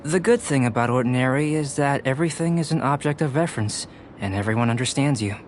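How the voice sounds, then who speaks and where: A man voicing a calm, detached young alchemist in an anime-style video game, speaking in a measured, precise, professorial way. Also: mid-range voice, a little hiss or hum, natural voice. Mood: reflective, explanatory.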